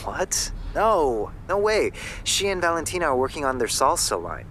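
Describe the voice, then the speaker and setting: A man playing a calm, skeptical boyfriend. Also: on the high side, normal speed, a little hiss or hum. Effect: phone line.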